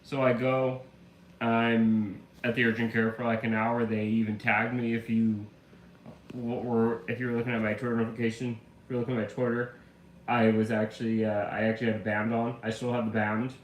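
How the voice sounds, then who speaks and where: A man's voice: medium pitch, flat delivery, a little fast. Guy streaming from his room, still a bit of flu cough, rambly chatty storytelling, echoey room. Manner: rambling storyteller, lots of "like" fillers. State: recovering from flu, slight lingering cough. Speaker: live streamer. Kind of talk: casual live-stream chat. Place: room at home. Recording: echoey room with faint ambient hum.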